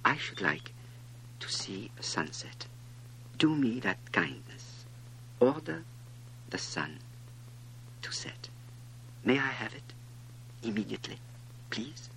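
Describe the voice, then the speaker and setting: A man's voice: lowish voice, big pitch swings, slow pace, pauses often, pushed and bright, a little hiss or hum, full character voice, poor audio. A man voicing a polite, timid, curious young boy prince in a storybook, hesitant and earnest. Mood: timid, pleading.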